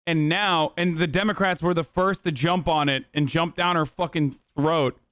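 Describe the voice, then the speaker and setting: A man talks political commentary on his stream, bluntly and with plenty of profanity and filler words. His voice sits somewhat high and sounds smooth and resonant. The sound is dry and close, with no room around it. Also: steady tone, moderate pace, a bit bright, dry recording. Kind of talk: livestream commentary reacting to clips.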